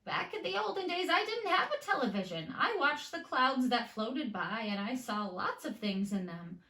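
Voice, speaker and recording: Woman as a high-voiced storybook grandmother. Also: big pitch swings, moderate pace, sharp tone, reverberant, exaggerated voice, low-quality recording. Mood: nostalgic, proud. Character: encouraging old grandmother recalling olden days.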